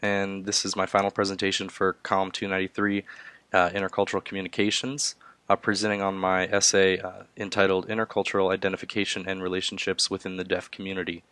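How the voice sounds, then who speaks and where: A young man, hoarse from a cold, giving a class presentation with frequent "uh" fillers. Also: deep voice, monotone, fairly bright tone, dry recording. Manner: halting, many filler "uh"s. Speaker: student presenter.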